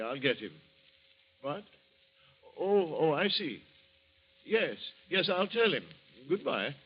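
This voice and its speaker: A deferential butler. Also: male voice, a little high. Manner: deferential, hesitant. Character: polite, deferential male butler. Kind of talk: 1940s radio acting. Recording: dull old radio recording.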